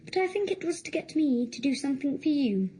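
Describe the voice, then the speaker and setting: Very high-voiced, secretive young schoolboy. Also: female voice, big pitch swings, brisk, mellow, a little hiss or hum. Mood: wistful, evasive. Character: young schoolboy, secretive, precocious, uneasy under questioning. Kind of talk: audio drama acting.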